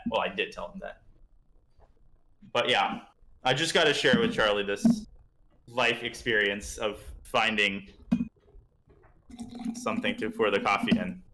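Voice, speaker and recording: A man's voice: mid-range voice, sharp tone, echoey room, low-quality recording. A man with a slightly parched throat, chatting casually as he teaches chess on a live stream and thinks aloud about moves.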